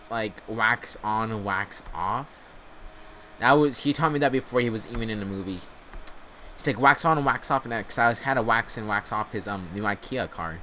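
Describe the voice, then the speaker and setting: Male gaming storyteller, forceful bright tone, tongue-in-cheek and rambling, faint-budget home recording with clear background noise. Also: on the low side. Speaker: host of a gaming storytelling channel. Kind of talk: casual comic storytelling over gameplay.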